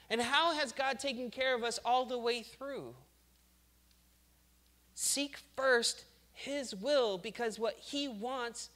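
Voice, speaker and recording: Guy pastor preaching casual, high bright voice, acts stuff out. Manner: conversational preaching, acts out complainers. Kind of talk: sermon.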